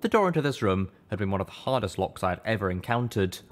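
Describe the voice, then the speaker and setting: A man reading first-person fiction as an audiobook narrator, with measured, dramatic storytelling. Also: fairly low pitch, very animated.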